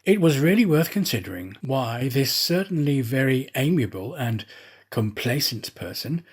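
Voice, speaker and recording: A man reading a classic novel aloud as an audiobook narrator, with theatrical, measured phrasing. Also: mid-range voice, husky.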